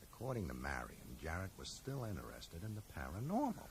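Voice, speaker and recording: Low-voiced, earnest, level-headed man. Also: huge pitch swings, fairly quick, exaggerated voice. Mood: earnest, reflective. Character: level-headed, earnest male bookshop owner named Richard. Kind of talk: radio drama acting. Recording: mild hiss, 1980s radio drama.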